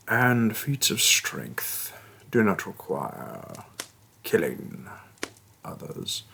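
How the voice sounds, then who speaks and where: Man voicing a slow, gravelly, gentle orc shaman. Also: heavily acted. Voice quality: gravelly, husky, breathy low voice. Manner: slow, deliberate, halting, calmly reassuring. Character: hulking, gentle, patient male orc shaman healer. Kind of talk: fantasy roleplay audio acting, addressing the listener.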